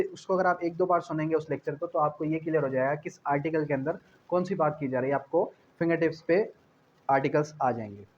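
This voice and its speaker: Pakistani guy teaching a law class, kinda high voice, repeats stuff, asks then answers, bit of background noise. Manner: didactic, repeats key phrases, asks and answers own questions. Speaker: law lecturer. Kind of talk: explanatory exam-prep lecture, switching between reading legal clauses and explaining. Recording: dull lo-fi audio with faint noise.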